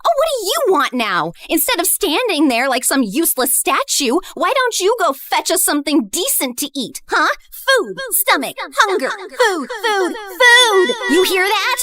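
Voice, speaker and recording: A woman's voice: very high-pitched, big pitch swings, unhurried, hardly any pauses, pushed and bright, exaggerated voice. A woman playing a bitter, scornful teenage girl in a dramatized story, accusing and sneering at a former best friend. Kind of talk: dramatized first-person story acting.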